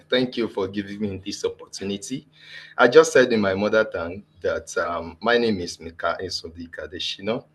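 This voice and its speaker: A Nigerian man with a Nigerian accent introducing himself politely on a video call to a workshop. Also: low-pitched. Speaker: workshop participant, Wikipedia editor.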